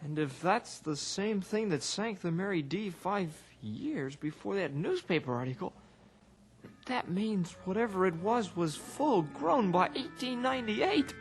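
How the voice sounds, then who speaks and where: A man's voice: sits high, big pitch swings, measured pace, a little hiss or hum, heavily acted. A man acting an eager, worried young ranger sidekick in an old-time radio adventure, earnest and full of questions. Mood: curious, tense. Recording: old radio recording with music.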